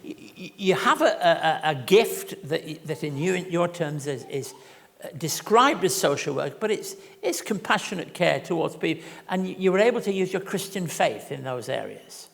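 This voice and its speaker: An older man hosting a church interview, asking gentle questions with stammering restarts. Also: on the high side, expressive, moderate pace, vocal fry. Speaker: host. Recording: echoey hall with long reverb.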